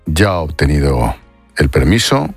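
Low-voiced Spanish male news presenter. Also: sing-song, normal speed, breathy edge, no room sound, soft background music. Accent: Spain. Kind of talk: broadcast news narration and interview.

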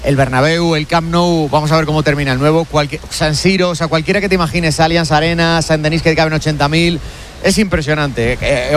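Quick male commentator over stadium noise. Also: fairly high pitch. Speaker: football commentator. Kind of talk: casual, emphatic match-day report. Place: large football stadium.

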